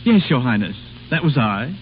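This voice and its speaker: An actor playing an earnest, apologetic hotel waiter, deferential and hesitant, in an old radio comedy. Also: male voice, mid-range voice, sing-song, normal speed, close to an everyday voice. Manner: deferential, hesitant, naively stubborn. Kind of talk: 1930s radio comedy acting. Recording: old radio broadcast, muffled with clear hiss.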